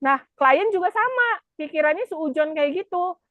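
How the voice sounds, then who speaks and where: A woman's voice: extremely high pitch, lively intonation, moderate pace, no room sound, phone-quality sound. A woman, explaining things informally as a class instructor with frequent check-in questions. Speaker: virtual assistant class instructor. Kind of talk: informal teaching, explaining with examples.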